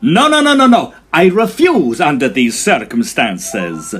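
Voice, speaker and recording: A man's voice: fairly high pitch, wildly swooping pitch, measured pace, a bit bright, husky, faint music behind, full character voice. A man playing a vain, jealous, hot-tempered opera tenor in a farcical period audio drama. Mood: indignant.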